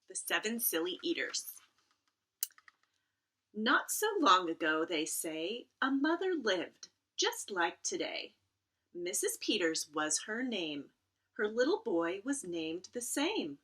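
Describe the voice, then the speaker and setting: A woman's voice: medium pitch, pushed and bright, husky. A middle-aged woman, a school librarian, talking and reading aloud to children at home, addressing them directly and drawing out key words. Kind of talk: casual chat and read-aloud storytelling for children.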